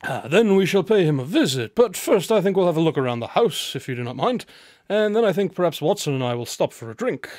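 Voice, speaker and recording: A man's voice: a little high, sing-song, a little fast, a little mellow, no room sound, clean studio sound. A man playing a shrewd, self-assured detective in a radio drama, speaking formally with a wry, faintly condescending manner. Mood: businesslike, thoughtful. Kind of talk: scripted radio drama acting.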